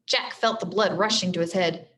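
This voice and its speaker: A woman's voice: fairly low pitch, moderate pace, fairly bright tone. A woman teacher reading a storybook aloud expressively, with simple explanatory asides for children.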